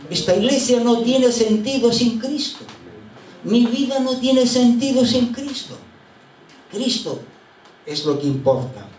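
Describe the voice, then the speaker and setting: High-voiced older pastor, distant and roomy. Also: male voice, lively intonation, a little mellow. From Chile. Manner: unhurried anecdotal storytelling with frequent hesitations. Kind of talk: sermon. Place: church sanctuary. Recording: roomy church sound from a distant mic.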